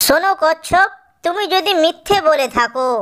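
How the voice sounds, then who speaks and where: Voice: very high voice, animated, moderate pace, a bit bright, voice pushed up. A performer voicing a hungry, foolish cartoon fox who boasts and makes threats. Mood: threatening. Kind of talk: children's cartoon character voice.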